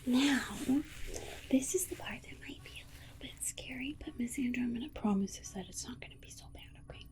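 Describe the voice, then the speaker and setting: A woman playing a gentle alien scientist in an ASMR roleplay, soothing and coaxing in a repetitive, babying manner. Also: fairly high pitch, a little fast, close to an everyday voice. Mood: coaxing, reassuring. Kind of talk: whispered ASMR medical roleplay.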